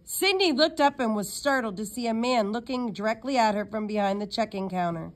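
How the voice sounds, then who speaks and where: A woman reading a children's novel aloud in an expressive storytelling manner, now and then stumbling and re-reading words. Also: a little high, brisk. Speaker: read-aloud narrator.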